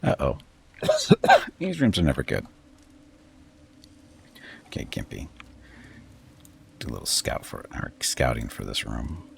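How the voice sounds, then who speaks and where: A man streaming a game and reading its text aloud, his voice very deep and gravelly with a lively, wandering pitch. He drops relaxed, wry comments between passages while faint game music plays. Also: slow pace, pauses often, slight background noise.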